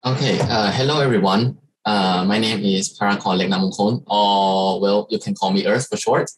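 A young man from Thailand, a Thai native speaker, giving a slide presentation as an international student on a video call, halting with many fillers and restarts. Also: on the low side, fairly even intonation, a little hiss or hum, rough recording. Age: young adult. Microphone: video call webcam.

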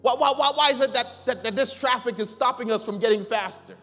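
Animated preacher in a reverberant church. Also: male voice, very high voice, fairly quick. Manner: animated storytelling, swings from loud pleading to quiet. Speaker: pastor. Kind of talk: sermon. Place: large church sanctuary, preaching from the stage. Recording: long hall reverb with faint music.

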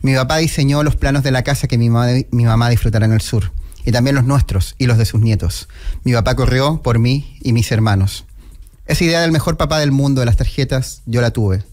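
A middle-aged Chilean man hosting a radio show, giving a solemn, respectful tribute in a measured way, close on a studio microphone. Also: medium pitch, darkish tone. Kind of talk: solemn tribute monologue, partly read aloud. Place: small indoor radio studio. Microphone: close broadcast microphone with headphones. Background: background music.

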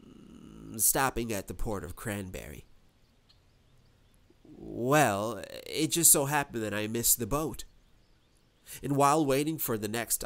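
A man voicing an old alley cat, slow and halting. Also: lowish voice, a bit bright, exaggerated voice. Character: old female alley cat, polite worn-out former traveler.

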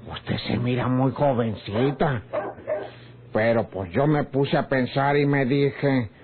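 Actor as sly, slow-talking Mexican ranch rogue, muffled. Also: male voice, medium pitch, lightly acted. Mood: teasing, flirtatious. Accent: rural northern Mexican. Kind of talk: old-time radio drama acting. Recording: muffled, noisy old radio recording.

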